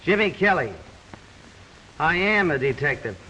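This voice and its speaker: A man's voice: a little high, expressive, measured pace, bright, forceful tone, lo-fi sound, slight background noise. An actor playing an eager young man about to elope, in 1940s radio acting.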